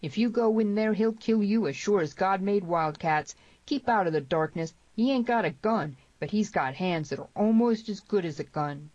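A woman voicing a gruff, protective, hot-headed cowboy in a western audiobook. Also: moderate pace, few pauses, a little mellow, no echo, lo-fi sound, lightly acted. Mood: pained, warning. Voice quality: deep, broken.

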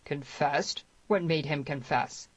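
A woman's voice: low-pitched, slow, dry recording, lo-fi sound. Woman playing a blunt, commanding old Western sheriff. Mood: questioning. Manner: blunt, demanding. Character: gruff, commanding gray-headed male sheriff, blunt and authoritative.

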